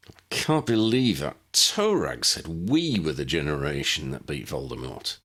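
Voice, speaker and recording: A man voicing a gruff, blunt, argumentative young male wizard in an audiobook story. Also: a bass voice, very animated, a little slow, fairly bright tone, exaggerated voice. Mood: blunt, grumbling. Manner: blunt, growling.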